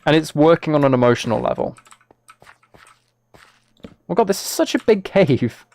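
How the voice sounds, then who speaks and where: A man's voice: a little high, sing-song, moderate pace, darkish tone. A British man chatting casually on a game livestream, talking to viewers in a mock-exasperated, self-deprecating way. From United Kingdom. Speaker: live streamer.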